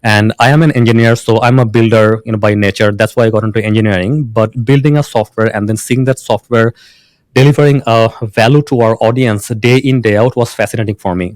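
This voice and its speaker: A man's voice: fairly low pitch, a little mellow, dry recording. A man from Bangladesh, a founder being interviewed, who restarts often and uses many fillers. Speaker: founder and guest. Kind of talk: casual interview talk.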